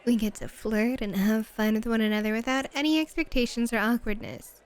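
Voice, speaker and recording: Woman playing a high-voiced, teasing bunny-club hostess. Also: fairly even intonation, smooth, natural voice. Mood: flirty, confident. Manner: teasing, coquettish, drawn-out purring. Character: flirty, teasing adult female bunny-club hostess, dominant and playful. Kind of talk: flirty ASMR roleplay acting.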